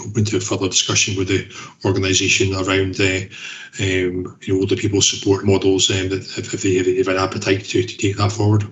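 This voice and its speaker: Low-pitched, even-toned man in an echoey room. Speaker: council officer presenting to committee. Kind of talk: formal meeting discussion. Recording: roomy, echoing meeting call.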